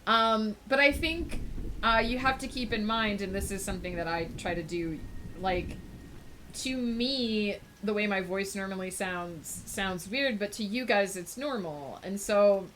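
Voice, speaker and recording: A woman livestreamer in casual chat with her viewers, rambling thoughtfully with many fillers and brightening up to greet people in chat. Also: mid-range voice, measured pace, a little hiss or hum, low-quality recording. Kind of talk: casual chat with a live audience.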